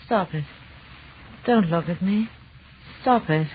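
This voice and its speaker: An actress playing a frightened wife falling under an alien spell in an old radio drama. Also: female voice, on the low side, slow pace. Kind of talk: 1940s radio drama acting. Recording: muffled, noisy old radio recording.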